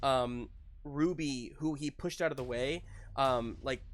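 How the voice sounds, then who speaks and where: A man narrating a tabletop roleplaying game as game master, in an animated, casual storytelling manner with slangy asides. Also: mid-range voice, measured pace.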